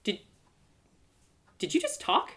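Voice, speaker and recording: A woman playing a curious, bewildered young woman traveller in a storybook reading, awkward and halting in her speech. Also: pushed and bright. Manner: halting, stammering. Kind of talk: live storybook character reading.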